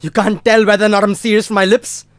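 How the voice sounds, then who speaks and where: A woman's voice: medium pitch, flat delivery, quick pace, no echo, lightly acted. Blunt, hot-tempered young partner acted by a woman. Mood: defensive, bitter. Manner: blunt, defensive, swearing. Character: hot-tempered young film-loving partner, moody and defensive. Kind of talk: scripted dramatic dialogue.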